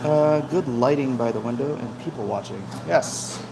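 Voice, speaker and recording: Guy eating on a livestream, chatting casually between bites, mid-pitched voice, music playing behind him. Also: moderate pace, vocal fry, poor audio. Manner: talks between bites, with frequent savoring hums. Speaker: livestream host.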